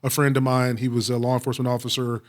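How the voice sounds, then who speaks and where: A middle-aged American man from Texas answering interview questions with personal stories, speaking reflectively with frequent pauses and repeated phrases. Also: mid-range voice, flat delivery. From Waco, Texas, United States. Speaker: police chief, interview guest.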